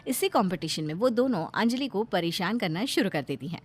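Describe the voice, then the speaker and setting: A woman's voice: medium pitch, lively intonation, a little fast, soft background music. Female narrator for a scripted moral tale, storytelling delivery.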